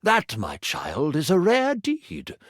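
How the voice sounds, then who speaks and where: A man acts out a solemn, grand enchanter from a fantasy game. The delivery is slow and ceremonious, swinging widely in pitch, with a close, dry sound. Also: huge pitch swings, a bit bright, no echo, full character voice. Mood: solemn, proud. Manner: stately, ceremonious. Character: solemn, grand high enchanter granting honors. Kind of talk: tabletop game roleplay in a video game.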